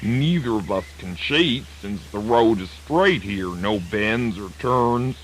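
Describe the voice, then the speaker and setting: A man playing a dignified, sly old terrapin in a children's radio folk tale, with a Southern American accent and a deliberate, courteous, folksy manner. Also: lowish voice, expressive, fairly bright tone. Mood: measured, challenging. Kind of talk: children's radio drama acting. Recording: noisy old radio drama recording.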